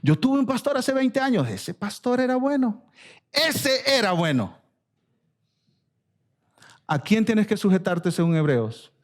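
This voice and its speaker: Latin American pastor preaching, higher-pitched voice. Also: male voice, big pitch swings, unhurried, long pauses. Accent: Latin American Spanish. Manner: emphatic, repeats phrases, asks rhetorical questions. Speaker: pastor preaching to his church. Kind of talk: expository sermon with direct exhortation.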